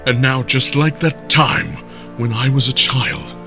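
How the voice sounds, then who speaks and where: Slow, brooding confessor. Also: male voice, medium pitch, very animated, music in the background. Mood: somber, anxious. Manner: somber, introspective. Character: troubled, brooding man confessing inner struggle. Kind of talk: scripted first-person monologue.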